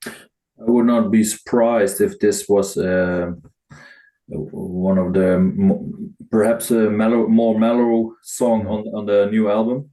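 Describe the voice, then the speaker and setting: A man giving his view as a guest in a casual music chat, speaking haltingly and searching for words. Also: fairly low pitch, level tone, dark tone, poor audio. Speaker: guest reviewer.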